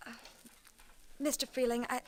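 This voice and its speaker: A woman's voice: high-pitched, little pitch movement, normal speed, natural voice. An actress playing a sweet, earnest young woman in an old-time radio drama, speaking with hesitant, pleading politeness. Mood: shy, earnest.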